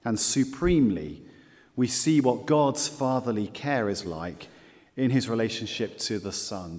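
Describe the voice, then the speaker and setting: A man preaching a sermon as pastor, earnest and measured, repeating key phrases and slowing down for scripture quotes. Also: fairly low pitch, darkish tone, in a large hall.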